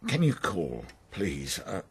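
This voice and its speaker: Low-voiced, proud older English farmer. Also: male voice, wildly swooping pitch, slow, breathy edge, heavily acted. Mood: anxious, awkward. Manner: theatrical, sardonic, sometimes pleading. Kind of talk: naturalistic radio soap acting.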